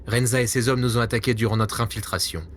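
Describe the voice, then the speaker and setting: A man's voice: mid-range voice, flat delivery, fast talker, fairly bright tone, slight background noise, lightly acted. A man playing a weary, self-doubting veteran secret agent in a spy audio drama, earnest and brooding. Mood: earnest, defensive.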